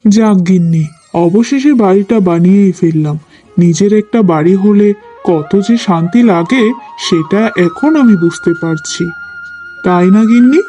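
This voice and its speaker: Man acting as contented young cartoon husband, mellow, reassuring, homely, music in background. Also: high voice, expressive, moderate pace. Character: caring, contented young husband in humble home.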